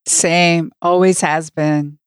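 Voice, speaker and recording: A woman co-hosts a podcast in casual discussion. Her voice is smooth and slightly low, recorded very dry with no room around it. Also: steady tone, slow, no echo. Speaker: podcast co-host.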